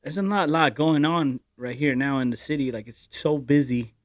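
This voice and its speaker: A man's voice: fairly high pitch, normal speed, no echo, studio quality. A young man co-hosting a podcast in casual chat, rambling with many fillers. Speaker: podcast co-host.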